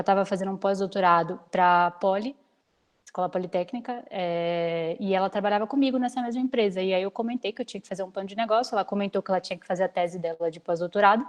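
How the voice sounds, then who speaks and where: A woman in her twenties from Salvador, a startup founder giving an energetic, practical guest talk over a video call. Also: mid-range voice. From Salvador, Bahia, Brazil. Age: 20s. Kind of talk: online lecture with slides.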